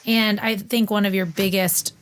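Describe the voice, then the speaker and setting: A woman hosts a casual interview podcast, gushing and upbeat as she fires off eager questions. Her pitch sits right in the middle for a woman, and her talk stays chatty and warm toward her guest. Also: steady tone, moderate pace. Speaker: podcast host.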